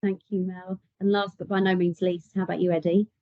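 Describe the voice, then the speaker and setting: A woman's voice: on the low side, little pitch movement, fairly quick, no echo, phone-quality sound. A woman hosting an online panel, upbeat as she thanks and introduces each speaker. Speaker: panel host. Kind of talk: moderating a panel.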